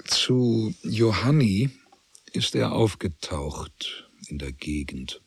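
A man narrating a literary radio drama in a measured, deliberate way. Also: fairly low pitch, sing-song, gravelly, husky, faint music behind, heavily acted.

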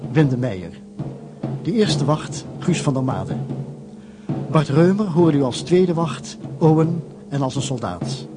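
Male announcer, formal credits read, a bit high, music underneath. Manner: formal, measured. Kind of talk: reading radio play credits. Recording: closing music behind.

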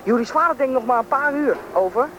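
A very high-voiced young boy, eager and polite but worried. He talks quickly in an old radio drama, with clear background noise. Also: animated, dull audio. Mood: relieved. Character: worried young boy whose father is trapped underground. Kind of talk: radio play acting. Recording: noisy old radio play recording, vehicle rumble.

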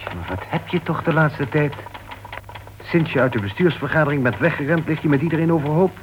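A man's voice: a little high, a little fast, lightly acted. Actor as a persistent, well-meaning clubman, muffled recording. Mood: coaxing, reproachful. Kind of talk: radio play acting in Dutch. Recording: dull old radio recording, faint noise.

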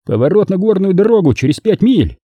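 A man's voice: high-pitched, very animated, a little fast, slightly rough, no echo, lo-fi sound, voice pushed up, heavily acted. A man voicing a grumpy, impatient male cop in an audiobook, complaining irritably. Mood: irritated.

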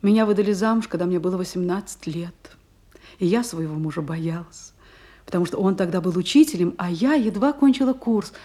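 Husky, wistful gentlewoman played by an actress. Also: lowish voice, brisk, close to an everyday voice. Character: discontented, refined young married gentlewoman, sensitive and disdainful. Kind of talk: classical stage drama acting.

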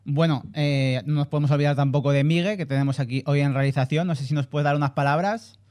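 Hombre presentador de podcast, habla rápido. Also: male voice, a little high, fairly even intonation, fast, darkish tone. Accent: Spain Spanish. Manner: welcoming, introduces co-hosts. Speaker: podcast host. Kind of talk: casual podcast chat.

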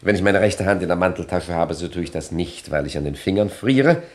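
Male actor, gangster boss, deep register, fast talker, mock-courteous, cheeky, radio crime comedy. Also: lightly acted. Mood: suave, amused. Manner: jovial mock politeness, repeats little words. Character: cheeky, self-assured male gangster boss, suavely polite. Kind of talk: satirical radio crime drama acting.

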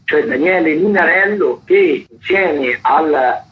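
Halting male radio host, dull sound. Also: fairly high pitch. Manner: hesitant, halting, searching for words. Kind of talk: informal spoken arts listings on radio. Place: radio studio. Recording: dull, lo-fi radio recording, background noise.

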